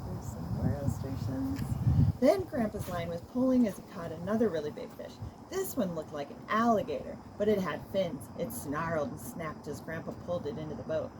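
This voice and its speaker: A woman, a children's author, reading a storybook aloud in an expressive, amused way. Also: lowish voice, a little fast. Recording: noisy recording with loud background noise.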